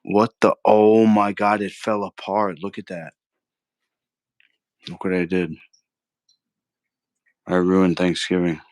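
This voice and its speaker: A man's voice: low-pitched, little pitch movement, slow, pauses often, darkish tone, dry recording. A man hosting a live cooking stream, rambling casually in slang and arguing with his chat as he cooks. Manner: slangy, argumentative, keeps saying bro. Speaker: cooking livestream host.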